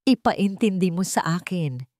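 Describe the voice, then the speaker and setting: A woman's voice: fairly low pitch, lively intonation, measured pace, mellow, no echo, clean studio sound. A woman voicing a betrayed young fiancée, wounded and resolute, speaking emotionally in an audio love drama. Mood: bitter anger. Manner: emotional, confrontational.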